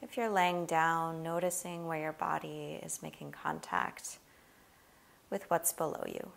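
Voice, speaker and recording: A woman guides a body scan meditation in a fairly low voice. There is a clear vocal fry in her speech. She gives each gentle instruction without hurry and leaves long pauses between phrases. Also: steady tone.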